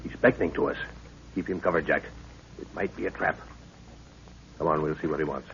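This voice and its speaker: An actor playing a brisk, commanding middle-aged expedition leader and father in 1930s radio adventure style. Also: rumbling low, creaky. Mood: wary. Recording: dull, noisy 1930s radio recording.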